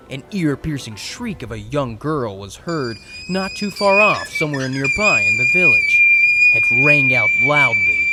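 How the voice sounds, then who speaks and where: A man's voice: mid-range voice, sharp tone, a little hiss or hum. A man giving dramatic scripted narration for a fan audio drama story.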